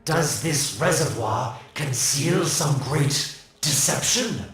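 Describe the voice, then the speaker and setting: An actor voicing a sly, mocking scientist who taunts in smug, sarcastic drawls. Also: male voice, medium pitch, expressive, slow pace, a bit bright, heavy reverb, far from the mic. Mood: sardonic. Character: sly, mocking male scientist, gleeful schemer.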